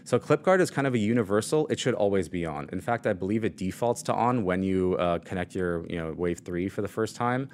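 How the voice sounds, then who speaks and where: A man with a low voice explaining microphone settings in a casual live demo, with lots of fillers, speaking into a studio mic at his desk. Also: expressive, brisk, hardly any pauses. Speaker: product demo presenter. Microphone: studio mic on shock mount and arm.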